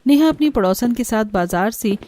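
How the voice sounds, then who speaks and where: A woman's voice: a little high, expressive, moderate pace, warm tone. A woman reading scripted story narration as the narrator.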